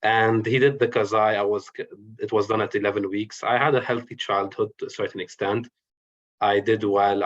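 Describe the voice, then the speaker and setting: A man in his twenties from Lebanon with a fairly low voice tells his own story to a forum. He is matter-of-fact, and the audio is dull and lo-fi, like an online call. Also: a bit bright. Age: 20s. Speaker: medical research fellow and guest speaker. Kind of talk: personal story told as a talk.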